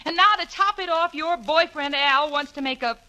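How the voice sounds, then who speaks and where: A woman's voice: sits high, normal speed, pushed and bright. A woman playing an exasperated, sensible young roommate with dry sarcasm in 1940s radio comedy. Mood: furious, exasperated. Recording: noisy 1940s radio recording.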